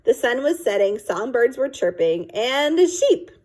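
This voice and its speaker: A woman reading a children's chapter book aloud expressively, pausing to explain phrases to young listeners. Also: on the high side, sing-song, a little mellow. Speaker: read-aloud storyteller.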